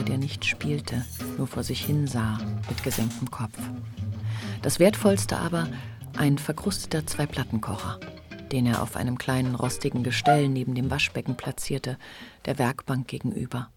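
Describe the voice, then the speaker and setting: A woman reading literary narration for a German radio drama in a measured, deliberate way. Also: extremely low pitch. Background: music.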